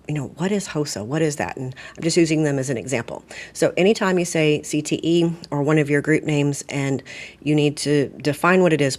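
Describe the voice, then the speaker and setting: A woman explaining software step by step in a conversational way, like a staff trainer walking through a screen. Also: low voice. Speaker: school administrator training staff. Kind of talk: informal software tutorial, step-by-step screen walkthrough.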